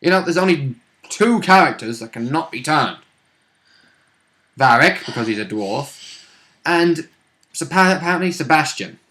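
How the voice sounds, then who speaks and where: A man with a sharp, forward voice that sits a little high. He talks casually as a role-playing gamer recounting play, swearing freely and acting out bits as he goes. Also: measured pace, pauses often. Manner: sweary, animated reenacting of moments. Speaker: tabletop role-playing game player. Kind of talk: casual chat recounting a game session. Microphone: recorded conversation for a vidcast.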